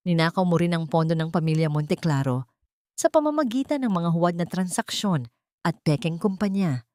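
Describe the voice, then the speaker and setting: A woman's voice: on the low side, lively intonation, a little fast, darkish tone, dry recording, studio quality, close to an everyday voice. Woman voicing a stern, commanding accuser. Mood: stern accusation. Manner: commanding, condemning. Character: stern, authoritative man accusing a thief.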